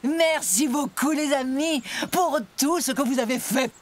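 A woman voicing a gentle, grateful mother crocodile in a children's cartoon. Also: high voice, unhurried, very noisy. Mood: tender, grateful. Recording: music behind the voice.

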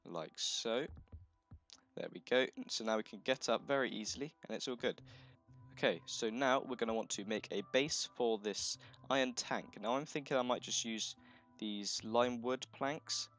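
A man with a croaky, just-woken voice, chatting casually through a gameplay video, thinking aloud and correcting himself as he goes. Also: mid-range voice, moderate pace, fairly bright tone, faint music behind. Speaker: let's play host.

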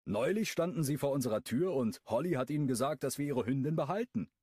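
A man's voice: mid-range voice, lively intonation, fast, mellow, slightly rough, no echo, studio quality, voice pushed up. A man voicing an exasperated young man who grumbles about his unruly dog.

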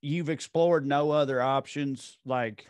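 A man in his thirties hosting a podcast, chatting in an animated, questioning way over a video call with a boom mic. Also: slow, no room sound. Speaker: podcast host. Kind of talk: casual chat. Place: home studio with blue backdrop.

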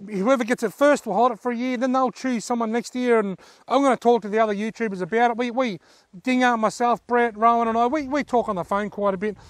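A man's voice: very high-pitched, steady tone, quick pace, no room sound. A man in his forties with an Australian accent, talking casually and effusively to camera as a vlogger. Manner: effusive, enthusiastic. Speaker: fishing vlogger, host. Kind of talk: casual vlog talk to camera. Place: outdoors on a dirt track in bushland.

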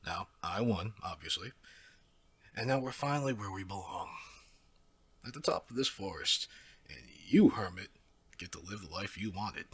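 A man playing a smug, menacing werewolf pack leader in an ASMR audio drama, speaking with raspy, mock-courteous condescension. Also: low voice, lively intonation, moderate pace, pauses often, fairly bright tone, heavily acted. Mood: gloating, domineering.

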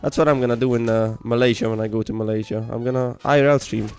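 Rambling Hungarian-accented young gaming streamer. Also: male voice, mid-range voice, fast talker, smooth, faint music behind. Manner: rambling, joking, reads chat messages aloud, sudden excited yells. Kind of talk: casual gaming livestream chat. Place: home room.

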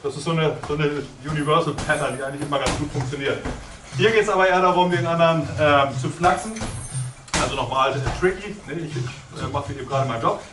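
A middle-aged man coaching a kickboxing class, brisk and joking as he counts out combinations for the group. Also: fairly high pitch, background noise. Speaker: kickboxing trainer. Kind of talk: coaching a training session. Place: large indoor gym. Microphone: far camera. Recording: echoey gym, far from the camera, loud music. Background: loud music.

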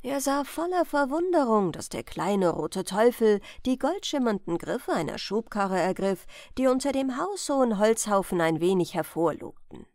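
A woman in her thirties reading a bedtime fairy tale as an audiobook host, with unhurried, expressive storytelling, close on a studio microphone. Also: fairly high pitch, no room sound. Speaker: audiobook reader and channel host. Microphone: large studio microphone, close.

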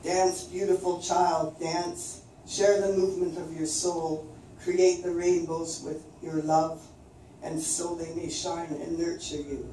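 An older woman from coastal British Columbia reading her own poems aloud in a slow, measured recitation at an open mic in a small venue. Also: lowish voice, little pitch movement, warm tone. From coastal British Columbia, Canada. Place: small dim performance venue, indoors. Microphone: far camera. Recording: very echoey room, distant, faint noise.

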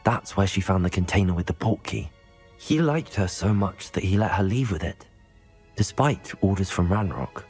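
A man's voice: deep voice, expressive, normal speed, a little mellow, faint music behind. An actor playing a polite, earnest young wizard student reporting news to his teachers in a game. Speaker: game protagonist.

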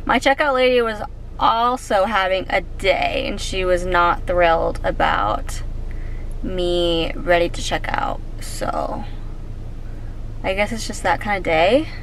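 A woman's voice: fairly low pitch, lively intonation, slow pace, a bit bright, slight background noise, low-quality recording. A young woman in her twenties vlogging casually in a car, a grocery store and her kitchen, chatty and a little wry and tired. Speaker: lifestyle vlogger. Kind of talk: casual vlog narration. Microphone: handheld camera close to face. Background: background music.

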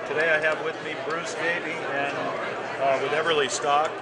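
American guy in his 40s hosting, voice a bit high, loud expo racket behind him. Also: level tone, normal speed, a loud crowd. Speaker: host, outdoor store owner. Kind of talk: on-camera introduction and interview. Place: busy indoor trade show floor. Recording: loud trade-show hall noise.

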